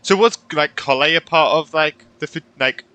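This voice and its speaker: A man's voice: fairly high pitch, normal speed, pushed and bright, smooth, dull audio. A young man giving casual, reactive playthrough commentary as a gaming streamer, often repeating himself, close on the mic.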